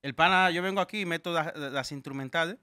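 A man's voice: a little high, fast talker, sharp tone, no room sound, clean studio sound. A man hosting a casual radio talk show, joking and bantering with his guests. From Dominican Republic. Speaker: host.

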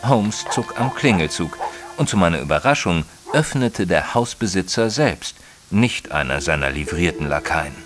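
Gentleman narrator, detective audio drama: very deep, animated, proper, faintly indignant, measured storytelling. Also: soft background music. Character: proper Victorian gentleman narrator, opinionated, easily shocked companion. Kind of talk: dramatised first-person audiobook narration in German.

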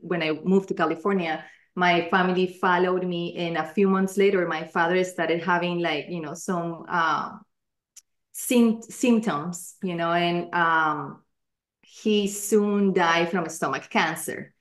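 A woman's voice: on the low side, fairly even intonation, a little slow. Colombia-born woman, roomy laptop audio. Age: 40s. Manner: earnest, many fillers and restarts. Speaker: guest, life coach. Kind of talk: video call interview. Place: living room at home.

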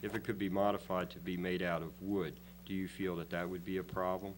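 A man's voice: low-pitched, level tone, brisk. A man on a town's management staff speaking in a meeting room discussion. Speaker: town management staff member. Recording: loud hum and room noise.

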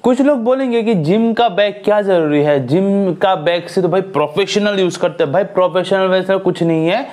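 Young male fitness vlogger, high voice, earnest and scolding, lapel mic in a small room. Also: normal speed, few pauses. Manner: earnest, lively hand gestures, scolding advice. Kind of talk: casual talk to camera. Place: small indoor room with a decorated wall.

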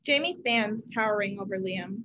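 Young woman reading out stage directions for a play, dull video call sound, normal pitch. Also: moderate pace, crowd noise. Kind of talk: scripted narration. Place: room at home, indoors.

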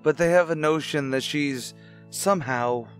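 An actor playing a stern, brooding widower in an old-time radio drama, speaking formally and deliberately. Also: male voice, a little high, soft background music, close to an everyday voice. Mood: grave, sorrowful. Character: stern, brooding widowed father with a morbid past.

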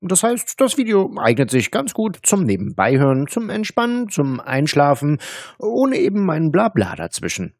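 A man's voice: on the high side, a little mellow, breathy edge, no room sound, studio quality. A man speaking as a host, narrating an introduction to his listeners. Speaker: host introducing recorded scam calls.

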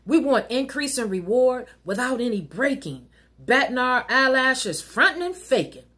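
A middle-aged American woman reciting rhyming spoken-word poetry like a minister, with a deliberate, emphatic, preacherly cadence. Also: mid-range voice, fairly bright tone, breathy edge. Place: wood-panelled living room at home.

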